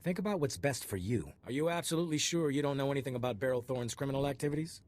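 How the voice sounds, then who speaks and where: An actor voicing a cynical, officious customs agent questioning someone in a video game, with a husky voice. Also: male voice, mid-range voice, rapid-fire, natural voice. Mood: probing, official.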